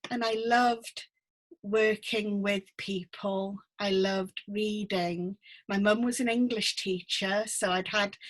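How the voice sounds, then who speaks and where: A middle-aged woman from North Wales, a guest talking gently and thoughtfully over a video call, with many ums and restarts. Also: mid-range voice, steady tone, slow pace. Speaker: guest, book mentor. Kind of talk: casual interview chat. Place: home room with full bookcase.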